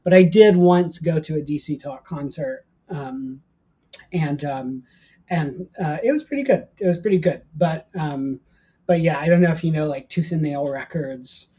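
An American man in middle life with a soft-edged, raspy voice. His tone is mellow and unforced as he tells personal stories in a casual interview, with a self-deprecating streak. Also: on the high side, normal speed. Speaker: guest, professor of ancient Christianity.

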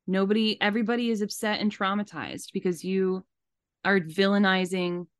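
A woman's voice: mid-range voice, normal speed, clear, resonant tone, no echo. Emphatic woman podcaster, dry close sound. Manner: emphatic, repeats phrases for stress. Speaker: podcast host. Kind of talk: casual commentary.

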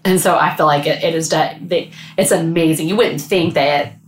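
Woman podcast co-host: loud, booming, lower-pitched, eager, recorded in a roomy space. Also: steady tone, normal speed, slight background noise. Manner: enthusiastic, many fillers and restarts. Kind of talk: casual chat.

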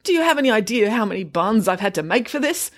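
A distressed male baker from a storybook, acted by a woman narrator. He pleads and moans in a raised, highly animated pitch. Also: quick pace. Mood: pleading. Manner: pleading, moaning. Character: Mister Chelsea, distressed pleading small-business bun maker man.

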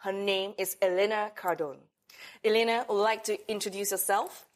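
A woman hosting a talk show, introducing her guest with upbeat, promotional enthusiasm. She asks questions in a friendly manner. Her pitch sits in an ordinary middle range. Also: steady tone, moderate pace. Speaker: host.

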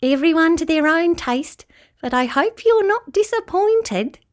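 Woman doing a proud rabbit, high, swooping intonation, snooty, emphatic, storybook style. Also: lively intonation, unhurried. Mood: opinionated. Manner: haughty, opinionated, emphatic. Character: proud, snooty but good-natured rabbit. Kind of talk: children's storybook character voice.

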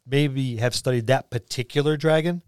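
Guy co-hosting a gaming podcast, mid-pitched voice, just chatting, really dry audio. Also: normal speed, a little mellow, clear, resonant tone, dry recording. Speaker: podcast co-host, game master. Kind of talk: casual conversational discussion.

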